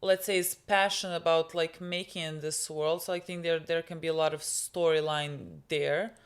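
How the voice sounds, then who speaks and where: Woman, young, Serbian native speaker, lower voice, rambling, lots of "like". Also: steady tone, moderate pace. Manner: rambling, many fillers and restarts. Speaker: reaction video co-host. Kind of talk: casual chat.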